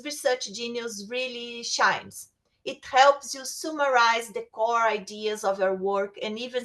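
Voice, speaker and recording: Woman speaker, Portuguese native, slightly high voice. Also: a bit bright. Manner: reads prepared slides with small restarts. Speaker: webinar speaker. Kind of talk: presenting a lecture on research tools.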